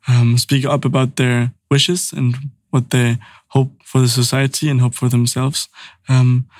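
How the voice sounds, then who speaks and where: A man's voice: medium pitch, no room sound. A young man from northern Germany, hosting a podcast in a chatty way full of "um" and "like". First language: Danish. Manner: chatty, with many fillers like "um" and "like". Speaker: podcast host. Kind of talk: casual podcast conversation.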